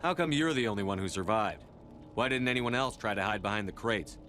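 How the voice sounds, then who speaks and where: A man's voice: on the low side, fairly quick, sharp tone. A man playing a stern, commanding space soldier in a video game, terse and businesslike as he questions people. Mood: probing. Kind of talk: cinematic sci-fi game dialogue. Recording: faint background noise.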